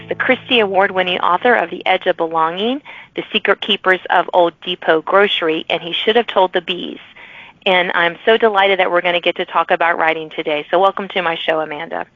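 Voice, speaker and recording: Podcast host lady, kinda low voice, chatty and stumbly, dull dry audio. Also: faint music behind. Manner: chatty, with halting restarts. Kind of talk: casual interview chat.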